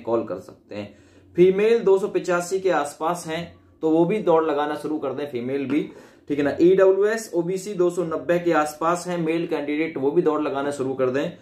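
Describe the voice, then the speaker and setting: A man's voice: on the high side, a little mellow. A man explaining things informally as a video presenter, rephrasing and checking in with his listeners. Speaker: exam-update video presenter. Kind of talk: informal explanatory commentary on recruitment cut-offs. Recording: echoey, reverberant room.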